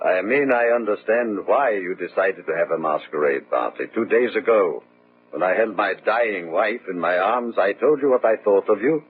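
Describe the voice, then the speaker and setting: An actor playing a grieving, righteous widower in a radio drama, solemn and oratorical like a preacher. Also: male voice, lowish voice, expressive, normal speed, breathy edge. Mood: grave, accusing. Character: grieving, righteous widower passionately rebuking his master. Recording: narrow-band old radio recording.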